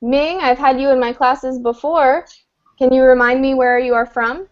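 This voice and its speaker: A woman teaching a beginner English class online, speaking patiently and clearly with an encouraging manner. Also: high-pitched, fairly even intonation, normal speed. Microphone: video call. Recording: dull lo-fi video call audio.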